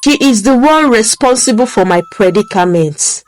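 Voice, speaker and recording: A woman's voice: on the high side, expressive, moderate pace, a little mellow, faint music behind, natural voice. Woman voicing a tearful, bitter young wife. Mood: angry accusing. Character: distressed young wife, tearful then furious.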